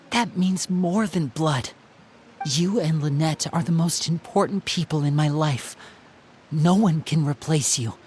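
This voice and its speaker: A man's voice: fairly high pitch, a little hiss or hum, close to an everyday voice. A man playing an earnest, protective young older brother in a dramatic game scene, articulate and courteous. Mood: tender, sincere.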